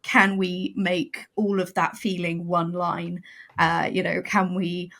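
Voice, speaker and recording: A woman writer talking as a podcast guest in casual chat, animated and self-mocking, often laughing. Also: fairly low pitch, slow, vocal fry.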